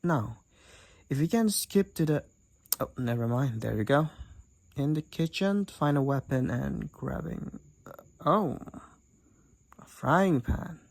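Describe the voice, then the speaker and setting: A woman's voice: extremely low pitch, expressive, measured pace, long pauses, a little mellow, exaggerated voice. A woman playing a cocky, teasing demoness college roommate and gamer in a roleplay audio drama, with a wry, theatrical delivery. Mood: amused, sardonic. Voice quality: raspy, creaky. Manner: teasing, wry, theatrical, addresses the listener as Sugar.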